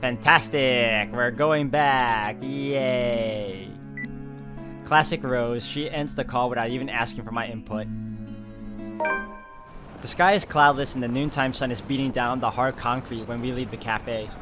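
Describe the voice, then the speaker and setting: A man's voice: medium pitch, a little slow. Man reading game narration aloud over music. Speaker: let's player. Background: background game music.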